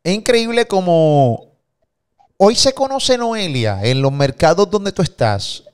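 A man hosting an interview from a studio, asking probing questions in a halting way full of fillers. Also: high-pitched, very animated, a little mellow, clear, resonant tone. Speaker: host. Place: studio, indoors. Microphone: studio mic.

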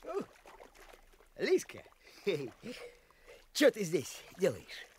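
Sly, animated young village lad. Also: male voice, high-pitched, drawn-out words, slight background noise, exaggerated voice. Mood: coaxing. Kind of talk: Soviet radio play acting.

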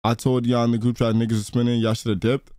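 A man's voice: medium pitch, level tone, quick pace, mellow, no room sound, studio quality. A man giving casual reaction commentary on a game stream, in slangy, profane talk.

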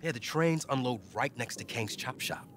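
An actor playing a cocky, excitable young man in a crime crew, speaking informally in naturalistic game dialogue. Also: mid-range voice, normal speed, slight background noise, lightly acted. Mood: excited, knowing. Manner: animated, informal.

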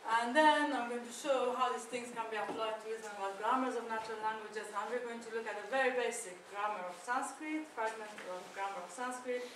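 A woman's voice: fairly high pitch, fairly quick, few pauses, fairly bright tone, recorded from a distance, a little hiss or hum. Chatty woman lecturing in an echoey room. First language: Persian. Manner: chatty, self-deprecating, with many fillers and restarts. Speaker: academic speaker. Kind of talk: conference talk. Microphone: far camera.

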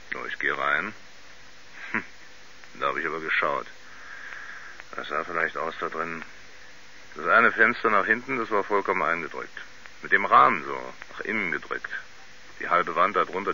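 Country sheriff, very hard-edged tone, halting folksy delivery, slightly dull vintage radio play recording. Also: male voice, low voice, pushed and bright, slight background noise, full character voice. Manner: folksy, halting, thoughtful witness account. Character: plain-spoken rural American sheriff giving testimony. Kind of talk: 1970s German radio play acting.

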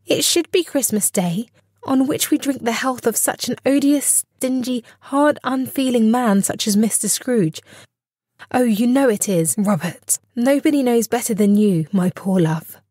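A man voicing an indignant, loving, sharp-tongued wife and mother with a scolding, emphatic manner. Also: very high-pitched, unhurried, dry recording, clean studio sound, voice pushed up.

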